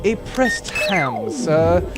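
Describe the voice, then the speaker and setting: A man playing a villain's deferential butler, formal and deadpan, in an audio comedy. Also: high-pitched, drawn-out words, loud music behind the voice, pitched below the performer's own voice, heavily acted. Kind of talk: audio adventure comedy acting.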